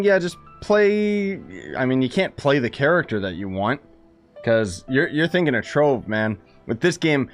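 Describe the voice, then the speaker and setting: A man giving casual, sardonic and grumbling commentary as he livestreams a game. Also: on the high side.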